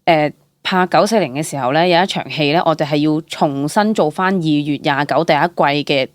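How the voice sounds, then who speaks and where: A young woman with a Hong Kong Cantonese accent chats as an interview guest, telling stories in an animated, candid way and dropping in English words. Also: deep voice, fairly quick, no room sound. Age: young adult. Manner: animated, chatty, mixes English words into speech. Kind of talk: casual, candid storytelling.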